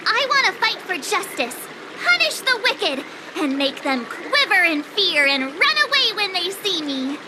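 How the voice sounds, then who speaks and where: A woman's voice: very high-pitched, sing-song, measured pace, heavy background noise, full character voice. A woman voicing a bubbly, eager teenage girl sword apprentice in a video game, with a perky, earnest, theatrical delivery. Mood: fired up.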